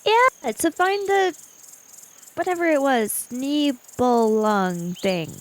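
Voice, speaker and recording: A woman with a high-pitched voice takes part in a tabletop roleplaying game. She talks slowly and casually, often speaking as her character. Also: lively intonation.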